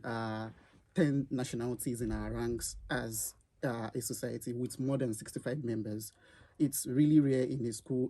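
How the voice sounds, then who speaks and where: Man, mellow and hesitant. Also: mid-range voice. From Nigeria. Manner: hesitant, many filler pauses. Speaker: student society secretary on campus radio. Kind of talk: casual chat show.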